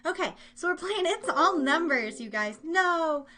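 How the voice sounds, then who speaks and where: A woman's voice: very high-pitched, animated, measured pace, bright, forceful tone. An American woman casually hosting a live online show, rambling along in a bubbly, informal way with her listeners. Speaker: host of a live online radio show and podcast.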